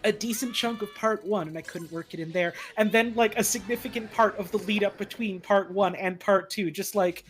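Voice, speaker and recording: A fandub performer chatting casually on a livestream in a halting, rambling way, animatedly quoting their own thoughts. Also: medium pitch. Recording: music playing behind the voice. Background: background music.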